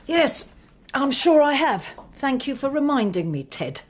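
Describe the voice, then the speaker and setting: An actress playing a bereaved, sharp-tongued older mother in a radio drama, dry and sarcastic. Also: high voice, sing-song, unhurried. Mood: tart, sarcastic. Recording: noisy, with background ambience.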